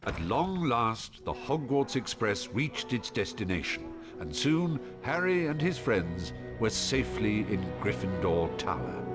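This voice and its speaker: An actor as the solemn narrator of a video game, reading scripted storybook narration. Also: male voice, on the low side. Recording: loud music under narration.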